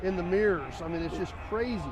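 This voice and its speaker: A man's voice: high-pitched, fast talker. A man hosting a casual car walkaround, talking in an enthusiastic, admiring way. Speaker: video host. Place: outdoors at a car show or auction.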